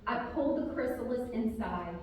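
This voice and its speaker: A woman author speaking and reading a children's story aloud to a group, with expressive, drawn-out emphasis on key words. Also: on the high side. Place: large reverberant room, live community gathering. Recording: very echoey hall, distant, faint noise.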